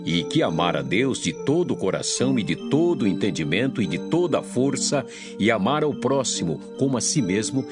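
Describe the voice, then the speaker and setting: Learned scribe, acted by a man: lowish male voice, solemn and earnest, expressive contours over clear guitar music. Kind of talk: dramatized scripture audio reading.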